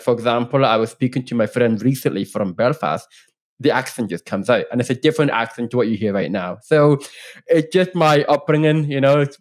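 A man in his thirties from Northern Ireland, with a blended Northern Irish, Scottish and English accent, talking earnestly and openly as a podcast guest, with some restarts. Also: mid-range voice. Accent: mixed Northern Irish, Scottish and English. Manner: earnest, open, frequent restarts. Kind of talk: casual interview conversation. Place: home room with bookshelves. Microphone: studio microphone on boom arm, headphones.

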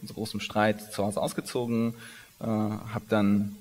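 A man's voice: fairly low pitch, level tone, measured pace, a little mellow. A man in his thirties, born in Iran, speaking on stage in an interview, often stopping and restarting his sentences. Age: 30s. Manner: hesitant, many restarts and fillers. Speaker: company founder, interviewee. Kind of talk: onstage conversational interview. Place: large conference hall stage. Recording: long reverb of a big hall.

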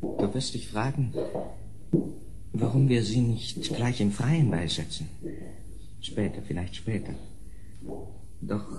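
A man's voice: medium pitch, background noise, close to an everyday voice. An actor playing a grieving, unhinged castle nobleman in a German horror radio play, brooding and theatrical.

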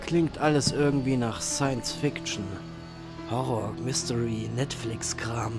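A man's voice: medium pitch, steady tone, slow, music in the background. A man playing a skeptical bar regular who speaks bluntly. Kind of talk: fan audio drama acting.

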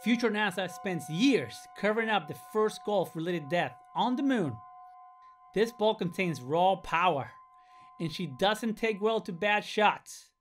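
Cocky, swooping star golfer. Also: male voice, sits high, animated, measured pace, soft background music. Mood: storytelling, dramatic. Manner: boastful, theatrical. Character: cocky, dramatic male star robot golfer. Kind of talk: anime-style video game voice acting.

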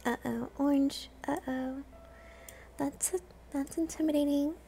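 High-voiced, mellow woman streaming a game. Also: steady tone, measured pace, creaky, a little hiss or hum. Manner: playful, self-deprecating. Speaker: streamer playing a video game. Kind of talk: casual gameplay commentary.

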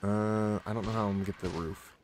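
A man narrating his gameplay in a casual, muttering way, his voice going hoarse and now and then breaking into loud frustrated outbursts. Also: on the low side, fairly even intonation, fast, slight background noise. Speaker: gaming channel host.

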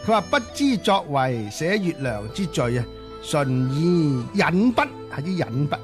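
A man co-hosting a radio show, giving conversational literary commentary in emphatic short phrases with drawn-out particles. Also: fairly high pitch, sing-song. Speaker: radio co-host. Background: music under the voice.

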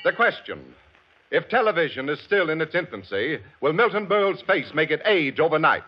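An actor playing a grandly solemn forum moderator in a 1940s radio comedy parody. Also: male voice, on the high side, sing-song, fairly quick, a little hiss or hum. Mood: mock solemn. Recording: dull vintage radio.

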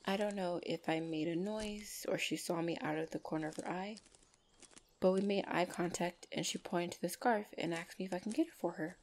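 Woman acting a low-voiced gentle orc girlfriend. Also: fairly even intonation, a little hiss or hum, natural voice. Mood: wistful, tender. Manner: soft, hesitant, tender, with small pauses. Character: gentle young female orc, shy devoted lover. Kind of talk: ASMR girlfriend roleplay.

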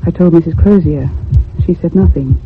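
A man playing a troubled, sensitive narrator in a radio ghost story, speaking in measured, earnest, literary phrases. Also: fairly high pitch, natural voice. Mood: uneasy, sorrowful. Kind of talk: dramatic radio horror narration. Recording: tinny, narrow old radio recording with hum.

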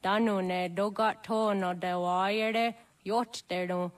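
A woman's voice: mid-range voice, steady tone, slow pace, lightly acted. A woman playing a grave, wise old village elder with an aged voice and solemn manner, in a video game. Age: older. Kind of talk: dramatic video game dialogue.

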